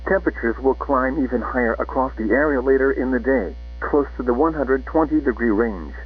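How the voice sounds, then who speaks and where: Over a tinny, radio-like narrow band, a synthetic male announcer of middle pitch reads formal weather alerts. Music sounds behind the voice. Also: brisk, clear, resonant tone.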